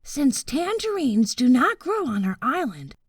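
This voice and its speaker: A woman voicing a solemn, self-important storybook wild boar who reports methodically in a hushed whisper. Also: sits high, sing-song, a little slow, husky, dry recording, clean studio sound, heavily acted. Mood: solemn, secretive. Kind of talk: children's storybook character voice.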